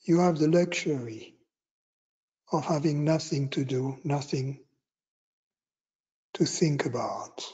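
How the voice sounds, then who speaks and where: A man teaching meditation, giving guided instructions in short, unhurried phrases with long pauses between them. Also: on the high side, warm tone, slightly rough, muffled recording.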